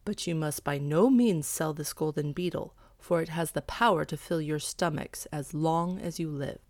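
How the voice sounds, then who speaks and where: A woman voicing a kindly old male priest who speaks in a gentle, measured, solemn way in a storybook. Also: low-pitched, no room sound, studio quality, close to an everyday voice. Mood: reassuring, instructive. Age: older.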